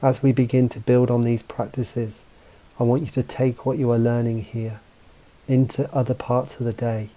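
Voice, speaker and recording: A man leads a guided mindfulness meditation in a very dark, soft-edged and relaxed voice. His delivery is calm and measured, leaving space between phrases as he gently instructs. The pitch sits in an ordinary male range. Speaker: meditation guide and mental coach.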